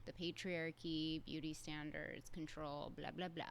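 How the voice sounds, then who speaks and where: A woman's voice: low-pitched, flat delivery, a little slow, slight background noise. A young adult woman, hosting a solo podcast in a candid, conversational way with casual fillers. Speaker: podcast host.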